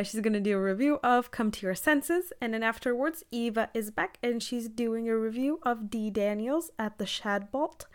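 Female radio presenter and interviewer. Higher pitch, crisp clear tone, informal and chatty delivery. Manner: chatty, informal, self-deprecating. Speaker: radio show host and interviewer. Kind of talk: casual arts radio presenting.

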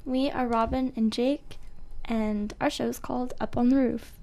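A woman with a fairly high voice and plenty of vocal fry, co-hosting a radio show. She talks in a loose, casual, joking way, as if making it up as she goes. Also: fairly even intonation, measured pace, warm tone. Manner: giggly, joking, loose and unscripted. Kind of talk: casual co-hosted radio chat. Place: radio studio.

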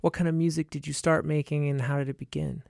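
A man's voice: on the high side, flat delivery, fast talker, gravelly, darkish tone, dry recording. A man hosting a radio interview in the studio, asking questions with many hesitations and restarts. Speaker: radio host.

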